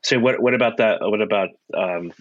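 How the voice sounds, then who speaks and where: A man's voice: on the low side, moderate pace, no room sound, muffled recording. A man hosting a podcast, asking a guest curious, open questions in a casual way. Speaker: podcast host. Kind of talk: casual interview.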